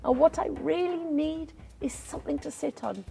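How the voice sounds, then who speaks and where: A woman voicing a sly tiny male spider trickster who fakes weakness, in a thin high whispery voice. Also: normal speed, creaky, dark tone, faint music behind. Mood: squealing alarm.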